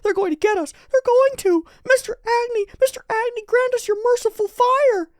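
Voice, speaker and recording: Casting: very high-pitched, panicky young worshipper for a comic, pleading and frantic. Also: female voice, normal speed, dry recording, studio quality. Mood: panicked pleading. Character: excitable, fearful young devotee of a fire god. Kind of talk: comic read-aloud character voice.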